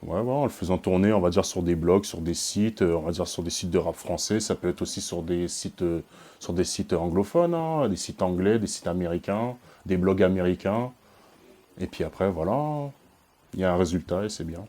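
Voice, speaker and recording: A man's voice: sits low, moderate pace, mellow. A man from French Guiana, a music producer and painter, answering interview questions hesitantly with many fillers.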